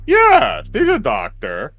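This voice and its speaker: A man voicing a flustered, pleading young man from a visual novel, halting and defensive. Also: on the high side, sing-song, bright, forceful tone, soft background music. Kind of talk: visual novel character read-aloud.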